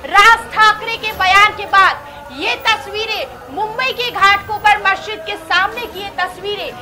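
Woman, very high pitch, emphatic breaking news commentary, repeated phrases, music and crowd behind. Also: measured pace. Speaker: news anchor. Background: loud music and crowd sounds.

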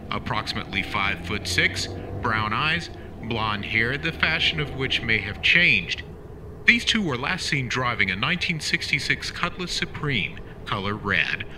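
An actor playing a radio news announcer who reads a bulletin in a measured, formal tone. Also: male voice, medium pitch. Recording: noisy radio broadcast.

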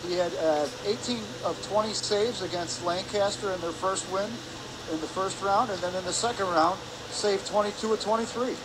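A man giving color commentary on a hockey game, offering stats and analysis in a conversational, slightly halting way. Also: high-pitched. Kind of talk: live sports analysis with statistics. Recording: clear arena noise behind voice. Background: ice rink ambience.

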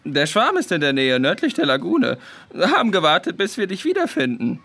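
A man's voice: high-pitched, wildly swooping pitch, normal speed, pushed and bright, full character voice. A man playing an easygoing, chatty puffin in a children's audio drama, speaking in blunt, clipped sentences. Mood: cheerful, welcoming. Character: chatty, easygoing male puffin bird named Björn.

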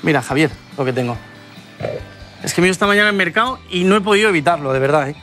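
Chatty TV chef, higher voice. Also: male voice, lively intonation, rapid-fire, fairly bright tone, soft background music. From Spain. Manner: chatty, enthusiastic, explains as he cooks. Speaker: TV cooking show host. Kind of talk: cooking demonstration. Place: TV studio kitchen set.

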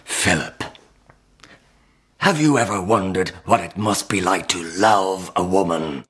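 An actor playing a haunted, war-weary crusader uncle in a historical radio drama, grave, halting and dramatic. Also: male voice, low voice, a bit bright. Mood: pressing, sorrowful.

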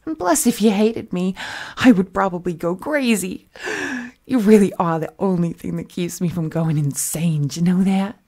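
A woman voicing an unhinged, possessive female supervillain kidnapper in an intimate roleplay audio, coaxing and doting with slangy speech and breathy whispers. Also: fairly low pitch, moderate pace, dark tone. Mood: doting, playful.

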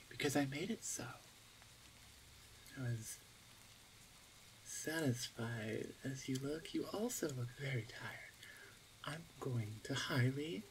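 A woman playing a teasing, smug older orc shaman sister in an ASMR roleplay, with a playful, drawn-out, self-assured delivery. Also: rumbling low, a little hiss or hum, heavily acted, rough recording. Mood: smug, languid. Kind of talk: ASMR roleplay audio drama.

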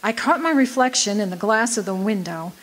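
An actress playing a bewildered woman stranded alone on a journey, telling her story in a measured radio drama monologue. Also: medium pitch, lively intonation, lightly acted. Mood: bewildered, reflective.

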